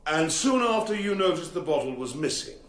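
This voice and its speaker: An actor playing a courteous, methodical prosecuting barrister, putting formal questions in a radio courtroom drama. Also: male voice, a little high, very animated, brisk, fairly bright tone. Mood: methodical, probing. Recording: roomy, echoing courtroom-like sound.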